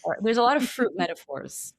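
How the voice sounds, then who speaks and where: A woman on a podcast talking in an animated, emphatic way, with a lot of "like.". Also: medium pitch, brisk, no room sound. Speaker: perfumer guest. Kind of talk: casual podcast conversation.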